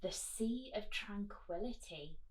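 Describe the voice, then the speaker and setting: A woman leads a storytime for children in a mid-pitched voice, a little way from the microphone. She reads expressively and talks straight to her young listeners in a chatty, teacherly way. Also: lively intonation, takes it slowly, far from the mic, rough recording. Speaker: teacher reading a picture book to children. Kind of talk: storytime read-aloud with chatty asides.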